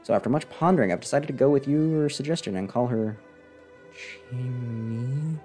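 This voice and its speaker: A man's voice: mid-range voice, normal speed, dark tone. A young man doing sarcastic, unimpressed, casual commentary as he streams a game into a close microphone. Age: young adult. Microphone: close desk microphone. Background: game music.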